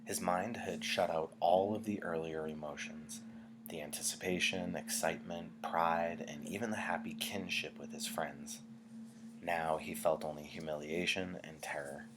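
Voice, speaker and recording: A man reading a novel aloud in an expressive narrating style, like a teacher to a class. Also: sits low, moderate pace, noisy background.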